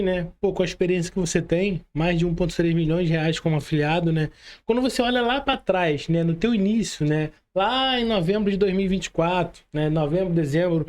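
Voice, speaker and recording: Brazilian guy hosting a podcast, pretty high voice, casual chatty interview style. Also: darkish tone. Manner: colloquial, frequent check-in tags. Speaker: podcast host.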